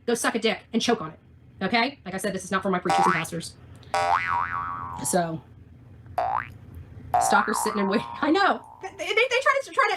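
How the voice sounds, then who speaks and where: A high-voiced woman rants to her livestream viewers with combative sarcasm and crude language. Her pitch swings widely as she talks quickly, and loud music plays along with her. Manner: combative, sarcastic, foul-mouthed. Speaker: livestream host. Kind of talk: ranting livestream chat.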